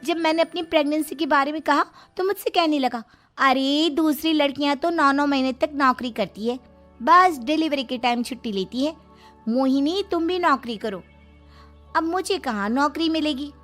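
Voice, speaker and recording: A young married daughter in a cartoon story, put on by a woman narrator. Her high voice is animated and whiny as she grumbles about a lazy husband. Soft music runs behind her. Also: moderate pace. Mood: whiny complaining.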